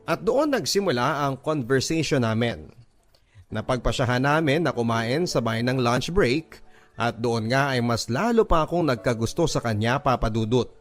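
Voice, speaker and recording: A man's voice: medium pitch, expressive, normal speed, soft background music. A man reading a scripted first-person love story as narration for a radio drama.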